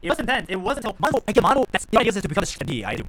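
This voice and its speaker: A man's voice: high-pitched, big pitch swings, rapid-fire, crowd noise. A man live streaming a video game, reading dialogue and reacting in a rambling way, often repeating himself.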